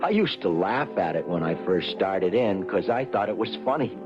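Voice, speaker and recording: A man's voice: deep voice, lively intonation, moderate pace. An actor playing a nervous, henpecked office clerk who stammers and wryly narrates his own troubles in an old-time radio comedy. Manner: hesitant, stammering, wry. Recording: dull old radio recording with music.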